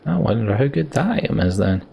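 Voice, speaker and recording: A man's voice: mid-range voice, sing-song, fairly quick, gravelly, warm tone, slight background noise. A man giving casual commentary on a video game and reading out its story. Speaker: let's play streamer.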